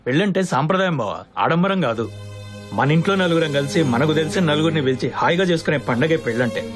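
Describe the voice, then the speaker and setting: Male actor, middle-aged family elder, outspoken, emphatic, very fast, slightly high voice, music behind, film drama. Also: animated, natural voice. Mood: impassioned. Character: outspoken, principled family elder lecturing on simple weddings. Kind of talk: film comedy-drama dialogue. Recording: film soundtrack with clear music.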